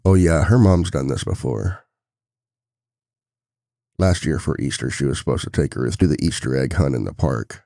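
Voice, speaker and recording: A man playing a devoted, worried single-dad boyfriend in an intimate ASMR roleplay, confiding softly with a gravelly voice. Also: expressive, normal speed, pauses often, dry recording, clean studio sound, exaggerated voice. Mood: resigned, exasperated. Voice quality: very deep, gravelly.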